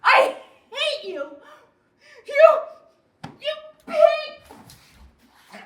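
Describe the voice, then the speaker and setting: An actress as a high-pitched, bitter, weary wife. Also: female voice, animated, unhurried, full character voice. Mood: furious. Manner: emotional, swinging from quiet brooding to outbursts. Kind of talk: naturalistic relationship drama acting. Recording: roomy echo, audible background noise.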